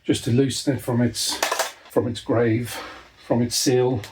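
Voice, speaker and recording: A man's voice: medium pitch, level tone, unhurried, music in the background. A man hosting a hands-on repair tutorial, talking casually and with dry humour as he works indoors at a desk. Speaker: host of a repair video. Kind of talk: casual hands-on tutorial commentary while working. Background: clicks and handling noise of tools.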